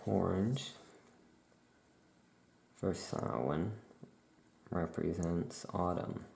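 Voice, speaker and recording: A performer voicing a chatty, musing necromancer witch shopkeeper in a soft-spoken ASMR roleplay, with writing sounds behind. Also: deep voice, very slow, vocal fry, full character voice. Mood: relaxed, musing.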